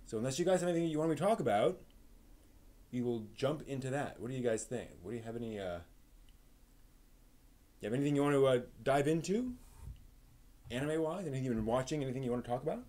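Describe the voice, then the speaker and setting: A man's voice: mid-range voice, animated, fast, pauses often, warm tone. A man hosting a live stream, chatting casually and off the cuff in a rambling way that trails off. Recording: noisy room background.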